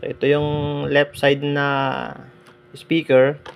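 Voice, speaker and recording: Man giving a halting gadget review. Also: mid-range voice, muffled recording. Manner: relaxed, halting, many fillers. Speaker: moto vlogger reviewing an intercom. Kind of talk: casual product review. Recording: clear background noise.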